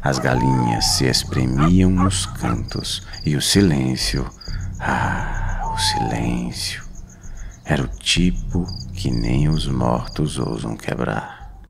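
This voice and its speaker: Very deep, gravelly Brazilian male storyteller. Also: lively intonation, noisy background. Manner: slow, suspenseful, with dramatic pauses. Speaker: horror story narrator. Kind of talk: dramatic scripted horror narration. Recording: narration over clear background music. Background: music.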